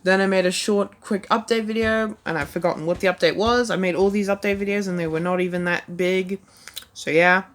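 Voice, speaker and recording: A woman's voice: mid-range voice, steady tone, fairly quick. A woman talking casually about her own past videos, rambling and self-deprecating, with lots of "like" and "um" fillers. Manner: rambling, heavy use of "like" and "um".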